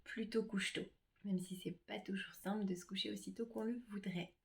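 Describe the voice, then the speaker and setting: Middle-aged lady reflexologist chatting about herself, gentle, lots of pauses and ums, mid pitch. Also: steady tone, gravelly. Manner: gentle, hesitant, many pauses and fillers. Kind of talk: casual self-presentation to clients.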